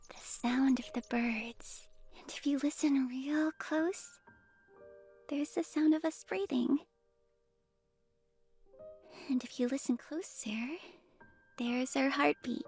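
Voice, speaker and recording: A sweet small girl in a visual novel. The voice is very high, soft and earnest, with light music behind it. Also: takes it slowly. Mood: gentle, hushed. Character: sweet, innocent, affectionate little orphan girl.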